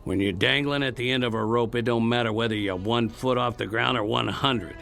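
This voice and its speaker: An actor playing a hard, defiant Old West outlaw in a radio drama, with an American Western drawl and plain frontier talk. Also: male voice, medium pitch, a little fast, vocal fry, a bit bright, soft background music. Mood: bitter, defiant.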